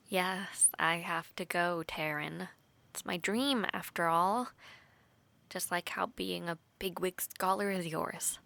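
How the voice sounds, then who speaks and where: A woman voicing an earnest, affectionate young knight trainee in an intimate audio roleplay, talking softly, often half-whispered, with light laughs and hesitant restarts. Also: fairly low pitch, takes it slowly, gravelly, long pauses, dry recording, heavily acted. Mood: wistful.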